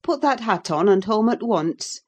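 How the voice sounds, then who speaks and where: A woman's voice: medium pitch, animated, a little slow, darkish tone, pitched above the performer's own voice. A woman playing a stern middle-aged housekeeper who scolds a runaway girl. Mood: scolding. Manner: scolding, firm.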